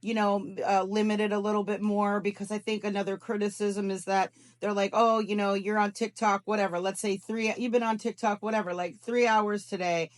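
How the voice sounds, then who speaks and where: A woman's voice: medium pitch, flat delivery, a little fast, few pauses. A woman giving casual advice on a phone call, cautioning and repeating her points for emphasis. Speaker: scam investigator giving job advice. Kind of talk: casual advisory phone conversation.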